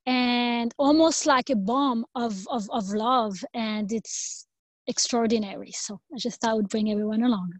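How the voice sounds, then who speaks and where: A woman from France, a native French speaker, giving a personal talk as a guest, reflective and pausing between short phrases. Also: fairly high pitch, dry recording. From Normandy, France. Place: online video conference.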